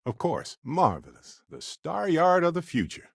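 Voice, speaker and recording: An actor playing a chatty, good-natured space explorer in a video game, speaking in a playful, conversational way with wry expressiveness. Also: male voice, fairly high pitch, big pitch swings, measured pace, gravelly, no room sound, clean studio sound, exaggerated voice. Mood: upbeat, playful. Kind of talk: video game companion voice lines.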